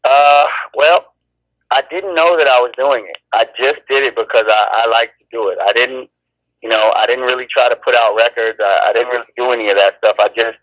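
A man's voice: medium pitch, fairly even intonation, a little fast, fairly bright tone, no room sound, highs cut off. A man who raps, talking casually in an interview, rambling and restarting his sentences. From born in Chicago, raised in Los Angeles. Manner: laid-back, rambling with many restarts. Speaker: rapper, interview guest.

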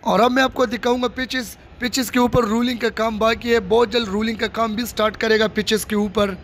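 A man's voice: very high voice, fairly even intonation, brisk, fairly bright tone. A man, giving a casual walking tour of a stadium under construction to viewers, filmed on a handheld phone outdoors. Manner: addresses viewers directly, repetitive showing phrases. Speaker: vlogger giving construction updates. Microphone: handheld phone camera held by the speaker. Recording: faint outdoor noise.

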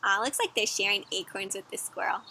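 A young woman reading a picture book aloud to children outdoors, pausing to ask them questions in a teacherly way. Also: medium pitch, creaky, breathy edge. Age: young adult. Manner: animated teacherly questioning, addressing children as friends. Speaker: children's story reader. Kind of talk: interactive picture-book read-aloud for kids. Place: outdoors in a garden or yard.

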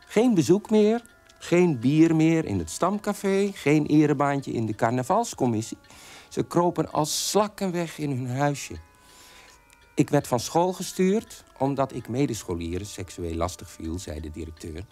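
Defiant son of Nazi parents, radio drama, animated pitch, bitter storytelling, music behind. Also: male voice, unhurried. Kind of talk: Dutch radio drama monologue.

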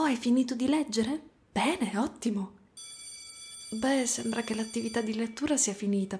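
A woman voicing a gentle, doting spider-woman caretaker in a soft, cooing ASMR roleplay. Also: a little high. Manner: soft, cooing, tender, sometimes whispering.

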